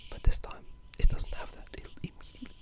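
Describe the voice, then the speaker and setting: A person whispering a casual, halting ASMR ramble about video games, in a breathy, unvoiced voice. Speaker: video game ASMR creator.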